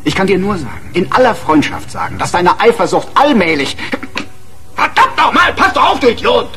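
Condescending husband, animated delivery. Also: male voice, high-pitched, moderate pace, over music, heavily acted. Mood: irritated, accusing. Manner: pompous, needling, self-righteous. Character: condescending, accusing husband and father, middle-aged. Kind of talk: naturalistic radio drama acting.

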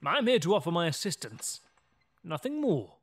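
An actor playing a cold, menacing, aristocratic male vampire in a horror audio drama, with formal, deliberate, theatrical delivery. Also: a little high, big pitch swings, slightly rough, exaggerated voice. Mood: haughty. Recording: music behind the voice. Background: music.

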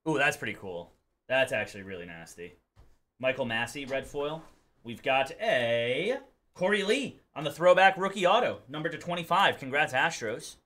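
A man's voice: medium pitch, lively intonation, normal speed, sharp tone. A young man hosting a live card break, calling out pulls with upbeat energy and joking banter. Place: indoor card break studio.

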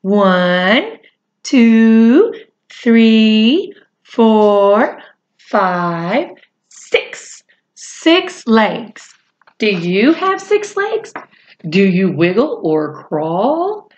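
A middle-aged woman reads a children's storybook aloud at a very slow pace. Her pitch swings in a lively, expressive way, and she breaks off for chatty asides to the kids. The sound is slightly dull, like a webcam in a room at home. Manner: expressive, drawn-out delivery addressed to young children. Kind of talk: animated picture-book read-aloud with chatty asides. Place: small indoor room at home.

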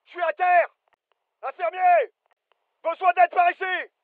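An actor playing a disciplined, urgent soldier in a military video game, giving short, clipped tactical callouts. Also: male voice, very high-pitched, fairly even intonation, a little slow, no echo, narrow, tinny audio. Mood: urgent shouting. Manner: clipped, commanding, terse.

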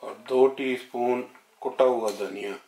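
A man's voice: mid-range voice, steady tone, a little slow, dark tone. Man narrating a recipe in an echoey kitchen. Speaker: home cooking channel host. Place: home kitchen, indoors. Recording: roomy kitchen echo, faint noise, sizzling.

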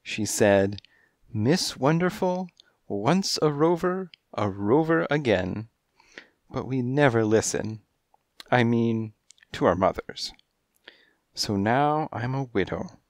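A man's voice: mid-range voice, animated, slow, pauses often, dry recording, studio quality, voice pushed up. A man voicing a flirtatious, dainty female cat who gushes and speaks breathily, in a storybook reading. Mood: gushing. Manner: breathy, gushing, coy.

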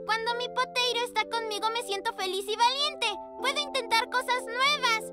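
A woman's voice: very high voice, steady tone, moderate pace. A woman voicing a cheerful, eager little girl pug in a dubbed cartoon, talking in a bubbly way with many exclamations. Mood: proud. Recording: music bed behind voice.

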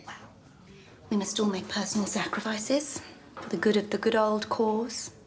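An actress playing a poised young gangster-family woman, cool, measured and dryly assertive. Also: mid-range voice, steady tone, slight background noise, close to an everyday voice. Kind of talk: period television drama dialogue.

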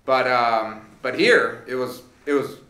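A man's voice: mid-range voice, slow pace, roomy sound. A man in his thirties from Southern California, chatting casually on a podcast with many fillers. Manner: relaxed storytelling with frequent fillers. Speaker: podcast co-host. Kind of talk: casual chat. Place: small studio room. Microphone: studio mic on boom arm.

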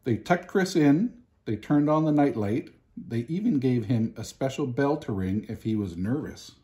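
A man, reading a children's picture book aloud expressively, with deliberate, emphatic pauses. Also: medium pitch, expressive, dark tone. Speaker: storybook reader. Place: home living room, indoors.

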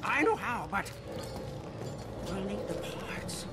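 An actor playing a zealous, fawning old mechanic who worships cars, in a video game cutscene. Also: male voice, slow, noisy background, exaggerated voice. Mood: eager. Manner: shrill, fervent, fawning, prone to excited outbursts. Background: loud game score music.

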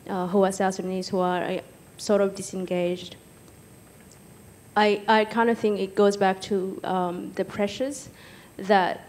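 A young woman from Egypt speaking hesitantly as a panellist on stage, pausing often, into a handheld microphone. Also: mid-range voice, little pitch movement, a little mellow. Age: young adult. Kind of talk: personal account in a panel discussion. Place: stage in an auditorium with audience.

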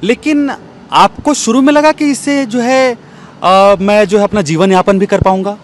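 A young man interviewing guests outdoors, asking long, deliberate questions with pauses, holding a handheld mic. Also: high-pitched, steady tone. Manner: slow, deliberate, with many pauses and fillers. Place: outdoors in an open courtyard. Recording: faint outdoor street noise.

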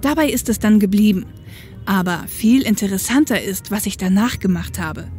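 An actress as a street-wise policewoman in a radio play, with a mid-pitched female voice. She sounds tough and matter-of-fact over a bed of music. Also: animated, normal speed, darkish tone, natural voice. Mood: reminiscing. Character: tough, upright working-class policewoman and ex-biker, grown woman. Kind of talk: German audio drama acting.

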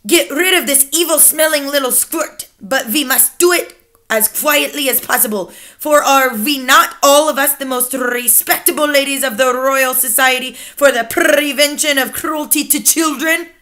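A woman's voice: sits high, moderate pace, pushed and bright, pitched above the performer's own voice. A woman voicing the imperious, scornful leader of a coven of witches, in a commanding, declamatory manner.

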